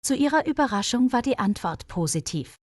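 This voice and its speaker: A woman reading a scripted audio story for language learners in a measured, clearly phrased storytelling manner. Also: a little high, very animated, dry recording, studio quality. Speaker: narrator of German-learning stories.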